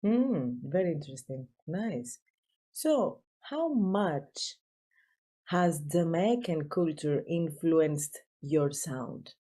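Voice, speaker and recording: A woman hosts an interview over a video call. Her voice is low and mellow. She asks her questions slowly, in simple, warm, non-native phrasing. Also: expressive. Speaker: podcast host.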